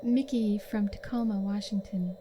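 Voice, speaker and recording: A woman co-hosting a podcast, briskly reading out listeners' names and hometowns. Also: medium pitch, steady tone, warm tone, a little hiss or hum, rough recording. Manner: brisk, reads names and places in turn. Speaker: podcast co-host.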